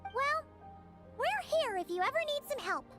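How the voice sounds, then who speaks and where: A woman's voice: extremely high pitch, very animated, normal speed. A woman voicing a small, chirpy, childlike floating companion in a video game, exclaiming and talking about herself in the third person. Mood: chirpy, inquisitive. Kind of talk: video game character dialogue. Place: studio. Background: game music.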